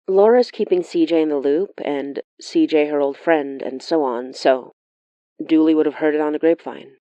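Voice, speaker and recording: A woman's voice: sits low, mellow, no echo, dull audio. A woman playing a wry, watchful sorcerer who narrates a horror audio diary in a confiding, matter-of-fact way.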